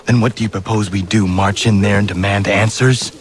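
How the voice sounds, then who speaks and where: A stern, idealistic young warrior acted by a man from a video game, with a fairly low voice. His delivery is formal, earnest and measured, over faint ambient noise. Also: fairly even intonation, natural voice. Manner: formal, measured, avoids contractions. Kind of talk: video game cutscene acting.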